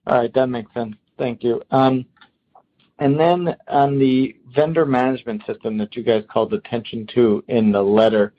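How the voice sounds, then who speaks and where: A man's voice: mid-range voice, fairly even intonation, moderate pace. A man speaking as a financial analyst, putting questions to executives on an earnings call. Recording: thin phone line.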